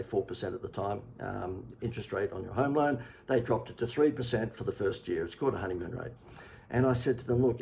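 A man in his sixties with an Australian accent, a marketing consultant giving a chatty, persuasive sales pitch from a home office, often pausing with "uh". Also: vocal fry, darkish tone, slight background noise. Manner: chatty, persuasive, many "uh" fillers. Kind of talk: direct-to-camera sales pitch.

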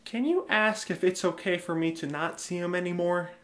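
A man's voice: sits high, moderate pace, fairly bright tone. A man playing a zealous, newly converted young Roman husband in an audio drama, earnest and emphatic. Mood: awed, sheepish.

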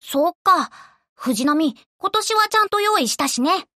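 A casual, cool teenage girl from an anime game. She is offhand in wording, with a high, bright voice recorded very dry. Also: lively intonation, unhurried, dry recording, exaggerated voice. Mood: pleased. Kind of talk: Japanese anime game voice acting.

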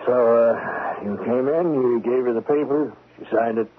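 An actor playing a polite, plodding homicide sergeant who questions haltingly in a radio drama. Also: male voice, medium pitch, natural voice. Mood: polite, probing. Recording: tinny, noisy old radio recording.

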